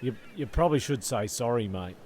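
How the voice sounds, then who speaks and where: A man's voice: mid-range voice, expressive, a little fast, darkish tone, a little hiss or hum, natural voice. A man with an Australian accent playing an easygoing, sensible, mildly bossy castaway in an improvised comedy audio drama, casual and coaxing.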